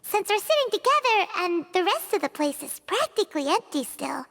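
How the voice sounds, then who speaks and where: A very high, bright and bouncy voice of a tiny fairy girl, chattering about herself in the third person. Her pitch leaps all over, and a long reverb trails behind the game audio. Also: very animated, brisk, vocal fry, exaggerated voice. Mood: chatty.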